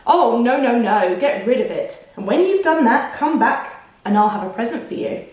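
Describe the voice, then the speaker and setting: Woman acting a loud, bossy crocodile, very echoey. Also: a little high, expressive, normal speed, lightly acted. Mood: relieved, excited. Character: selfish crocodile, big toothy male, bossy and loud. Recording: very echoey room, distant mic.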